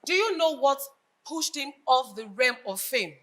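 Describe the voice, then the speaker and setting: A woman's voice: sits high, expressive, a little slow, fairly bright tone. An actress playing an indignant, earnest woman in a stage play, with a Nigerian accent and an emphatic, declamatory delivery. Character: indignant, earnest Nigerian woman lamenting her country's ills. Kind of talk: projected stage play acting.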